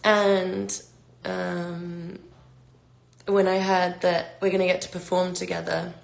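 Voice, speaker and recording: Slow gravelly-voiced woman chatting. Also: fairly low pitch, echoey room. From London. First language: Albanian. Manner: relaxed, rambling, many fillers and restarts. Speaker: pop singer answering fan questions. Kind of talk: casual livestream chat. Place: home during quarantine. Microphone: phone.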